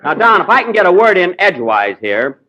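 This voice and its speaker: Lively, exasperated radio comedy host. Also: male voice, sits high, fairly quick, low-quality recording. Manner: vain, easily exasperated comic delivery.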